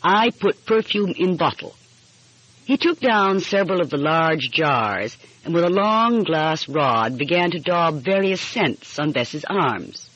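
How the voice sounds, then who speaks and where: A woman's voice: sits low, animated, measured pace, hardly any pauses, a bit bright. A woman reading a mystery story aloud as scripted audiobook narration. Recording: muffled, dull lo-fi audio.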